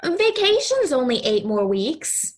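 A woman as a high-voiced, stubborn little girl. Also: big pitch swings, slow pace, full character voice. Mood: curious, insistent. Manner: childlike, earnest, blunt. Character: lonely, stubborn, doting ten-year-old girl. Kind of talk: audio play acting.

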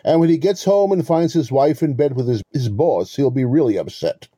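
Smug, pompous male swindler for an audio play, played by a man: very dark, husky tone, swooping intonation, wordy mock courtesy. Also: medium pitch, lively intonation, normal speed, no room sound. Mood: pleased, chatty. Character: smug, verbose male con artist posing as police lieutenant. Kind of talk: two-person audio play acting.

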